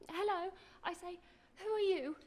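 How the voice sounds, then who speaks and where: A woman's voice: extremely high pitch, normal speed. A woman playing a frightened but plucky young sweetheart in a radio horror drama, breathless and melodramatic, with old-fashioned exclamations. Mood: hushed dread. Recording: clear background noise, drama sound effects.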